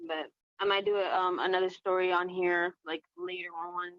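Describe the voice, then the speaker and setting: A woman's voice: on the low side, level tone, normal speed, no echo, dull audio. A woman chatting casually as a podcast host, hesitant and often repeating short phrases. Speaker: host and reader of a storytelling podcast. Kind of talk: casual chat.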